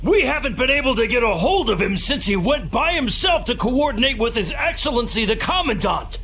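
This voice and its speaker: Pompous high-voiced knight. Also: male voice, very animated, fairly quick, pushed and bright, slight background noise, exaggerated voice. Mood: earnest, worried. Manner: pompous, theatrical, formal. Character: pompous, excitable, loyal male knight officer. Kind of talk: video game voice acting.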